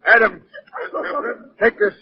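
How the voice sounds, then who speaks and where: An actor playing a stern, indignant man in authority aboard ship, speaking formally and forcefully in old radio drama style. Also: slow pace, recorded from a distance, natural voice. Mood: indignant, commanding. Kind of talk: 1940s radio acting. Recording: muffled, hissy old radio recording.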